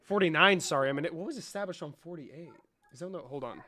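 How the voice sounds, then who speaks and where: Bright, high-voiced, foul-mouthed stream host. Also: male voice, quick pace. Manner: profane, mocking, swings from loud to mumbled. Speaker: livestream host. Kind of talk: casual livestream chat and debate.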